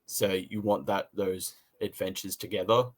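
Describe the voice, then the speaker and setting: A man from Australia co-hosting a casual review chat, talking in a halting way and often repeating words. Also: low-pitched, little pitch movement. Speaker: podcast co-host and reviewer.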